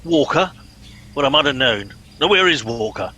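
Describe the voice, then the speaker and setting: A man playing a pompous middle-aged captain in a comedy radio play, brisk, officious and commanding. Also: mid-range voice, animated, sharp tone, a little hiss or hum. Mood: exasperated.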